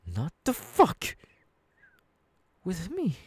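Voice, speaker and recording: A man playing a menacing, unhinged young supervillain boss in an ASMR audio roleplay, threatening his underlings slowly and deliberately. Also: sits high, huge pitch swings, slightly rough, no echo, exaggerated voice. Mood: threatening anger.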